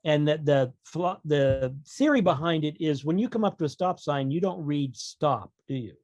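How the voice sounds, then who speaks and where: A man's voice: fairly high pitch, moderate pace, a little mellow, no echo, muffled recording. A man talking casually as an author guest on a podcast, explaining his opinions with wry humour.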